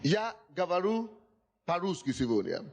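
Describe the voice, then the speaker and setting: A man's voice: high voice, huge pitch swings, a little slow, gravelly. A male pastor speaking Russian as a second language, preaching emphatically to a congregation and repeatedly asking whether they hear him. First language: not Russian.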